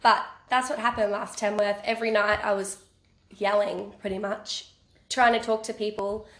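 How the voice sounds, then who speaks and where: A woman's voice: medium pitch, little pitch movement, normal speed, fairly bright tone, a little hiss or hum. A young woman, a singer guest who is sick, chatting casually, laughing easily and trailing off mid-sentence. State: sick, congested. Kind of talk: casual chat. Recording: roomy echo.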